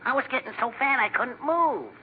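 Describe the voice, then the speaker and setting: A man's voice: very high voice, very animated, moderate pace, slight background noise. A man playing a wisecracking, nonchalant cartoon rabbit in a 1950s radio comedy, talking in a slangy, hesitant drawl on a muffled old recording. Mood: breezy, cheeky.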